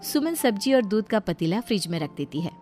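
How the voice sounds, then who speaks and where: A woman's voice: medium pitch, very animated, a little fast, a little mellow, soft background music. A woman narrating a scripted story as a storyteller.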